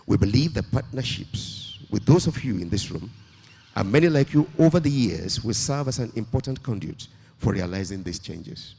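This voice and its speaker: A man giving a slow, measured formal speech at a launch event in a hall. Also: lowish voice, expressive, creaky, a little mellow, a little hiss or hum. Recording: long hall reverb.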